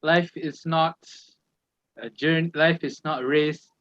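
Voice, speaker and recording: A man's voice: on the high side, fairly even intonation, unhurried, over a noisy crowd. A young man co-hosting a casual student podcast, easygoing and hesitant, with many fillers. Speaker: podcast co-host. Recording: dull, lo-fi dry sound.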